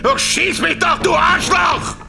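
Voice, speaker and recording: An actor playing a frantic, defiant executive at gunpoint in a radio play, ranting in a strained shout. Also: male voice, very high-pitched, measured pace, creaky, full character voice. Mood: frantic, enraged. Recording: music playing behind the voice.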